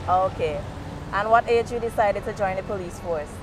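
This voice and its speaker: A woman's voice: medium pitch, moderate pace. A woman with a Guyanese accent hosting a street interview, chatty and encouraging with her guest. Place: outdoors by a street junction. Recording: outdoor street noise.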